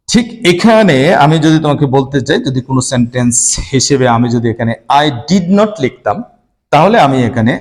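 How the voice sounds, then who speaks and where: A man teaching an English grammar lesson, explaining rules step by step to his students and pausing as he writes examples. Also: mid-range voice.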